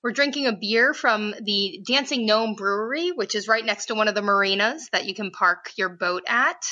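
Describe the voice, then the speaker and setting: A woman's voice: medium pitch, lively intonation, normal speed, pushed and bright, muffled recording. A young woman from Boston, a cardiology fellow guest, chatting cheerfully. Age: young adult. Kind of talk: casual podcast conversation.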